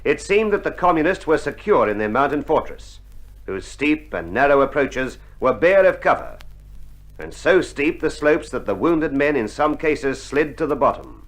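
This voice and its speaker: A man's voice: mid-range voice, poor audio. A man giving formal, dramatic scripted narration in a vintage war documentary style. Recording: old vinyl with faint music.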